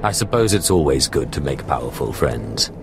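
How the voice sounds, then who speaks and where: A haughty young Sith acolyte for a video game. His low voice is measured and full of cold disdain, with some background noise in the recording. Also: big pitch swings, exaggerated voice. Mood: dry, aloof. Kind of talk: video game dialogue acting. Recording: noisy game capture.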